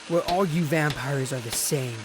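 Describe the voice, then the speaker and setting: A man's voice: a little high, moderate pace, close to an everyday voice. A man playing a teenage boy who rehearses an indignant zombie role in a melodramatic school play. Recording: music behind the voice.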